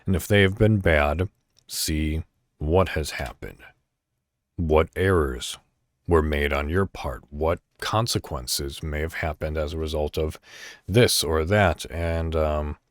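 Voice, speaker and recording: A man hosting a podcast, speaking in a reflective solo monologue straight to the listener with deliberate, emphatic phrasing. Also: a bass voice, no echo, studio quality. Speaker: podcast host.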